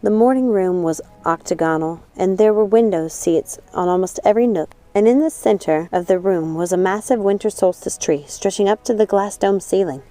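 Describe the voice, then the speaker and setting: A woman reading scripted storybook narration in a measured, expressive manner. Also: medium pitch. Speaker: audiobook narrator.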